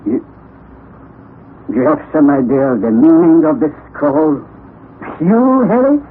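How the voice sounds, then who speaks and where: An actor playing an obsessive, eccentric old professor who mutters to himself, in a 1960s radio drama on a tinny, lo-fi broadcast recording. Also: male voice, fairly high pitch, takes it slowly, slight background noise. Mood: doubtful. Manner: excitable, stubborn, muttering to himself.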